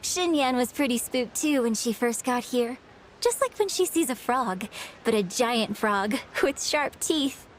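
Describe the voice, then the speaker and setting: Poised high-voiced young opera singer. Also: female voice, expressive, slight background noise. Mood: amused. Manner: polite, measured, articulate. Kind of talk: video game voice acting.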